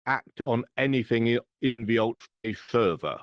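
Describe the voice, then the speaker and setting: Male participant, mid-pitched voice, muffled lo-fi sound, wry asker. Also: animated, normal speed, no echo. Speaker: class participant. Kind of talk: asking a question on a live call.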